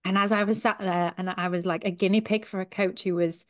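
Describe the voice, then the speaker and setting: A woman's voice: mid-range voice, fairly quick. A woman in her thirties from Sheffield with a Yorkshire accent, chatting animatedly as a guest on a video call from a small home office. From Sheffield, South Yorkshire, England. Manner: chatty, animated, gestures with her hands as she talks. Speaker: guest, human design coach. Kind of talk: casual interview chat. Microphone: headphones on a video call.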